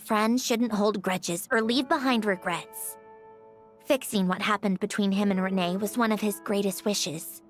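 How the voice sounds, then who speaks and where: A woman playing a proud, aloof young woman in a video game, speaking in a dry, measured, haughty way. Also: mid-range voice, fairly even intonation, soft background music, natural voice. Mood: wistful. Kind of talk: video game character acting.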